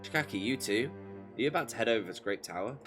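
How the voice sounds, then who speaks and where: Animated man streaming with game music. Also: mid-range voice, fast. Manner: dramatic read-aloud mixed with incredulous wisecracks. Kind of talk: live visual novel let's play, reading dialogue aloud with joking commentary.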